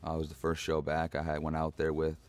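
A man's voice: very deep voice, monotone, fast. A young man, a monster truck driver, recounting plainly and matter-of-factly in an interview. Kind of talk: interview answer.

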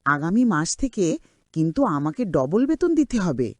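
A woman's voice: deep voice, big pitch swings, normal speed, a little mellow, no echo. Woman playing a harsh, swooping, scolding cartoon aunt. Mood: demanding. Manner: harsh, sarcastic, nagging. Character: cruel, scolding aunt bullying her orphaned niece. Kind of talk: cartoon drama voice acting.

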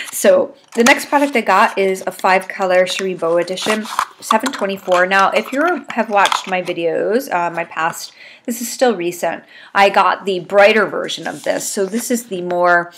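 A woman in her thirties with a low, stuffed-up voice talks to her webcam from a small room at home. Her delivery is chatty and rambling, with lots of ums between thoughts. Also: noisy background. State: congested. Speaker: beauty vlogger. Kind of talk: casual chat to camera. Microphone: webcam at desk.

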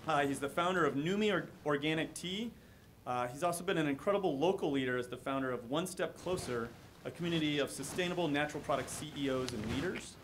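A man's voice: fairly high pitch, fast, a bit bright, a little hiss or hum. A man at a podium mic in a conference hall, introducing the next speaker with admiring words and filler uhs. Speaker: event host introducing a speaker.

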